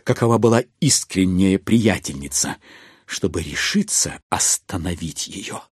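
An actor playing the wry literary narrator of a classic novel in an audio drama. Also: male voice, low voice, lively intonation, measured pace, slightly rough, no room sound, studio quality, full character voice.

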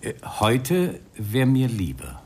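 An actor playing a composed, dogged police inspector in a radio crime drama, speaking politely in measured phrases. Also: male voice, medium pitch, wildly swooping pitch, darkish tone. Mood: polite, probing. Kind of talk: 1990 German radio crime drama acting. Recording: radio play with clear background noise.

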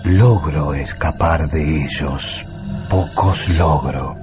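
A wise old alien in a radio drama, deep and extremely unhurried. He is calm and cryptic, speaking in halting, broken syntax, with music clearly behind him. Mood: solemn, cryptic. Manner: halting broken syntax, solemn, fragmented phrases.

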